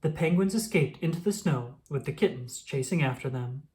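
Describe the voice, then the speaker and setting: A woman reading a children's picture book aloud in an expressive storytelling manner. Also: rumbling low, normal speed. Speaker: storybook reader.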